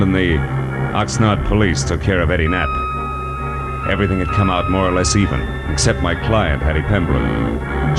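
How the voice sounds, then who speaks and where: Tough american detective, deep-ish voice, sardonic, old 40s radio show w music behind. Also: male voice. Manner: hard-boiled, slangy, sardonic. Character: wisecracking tough male private detective, cynical and bossy. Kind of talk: 1940s radio noir acting and first-person narration. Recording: 1940s radio recording with music.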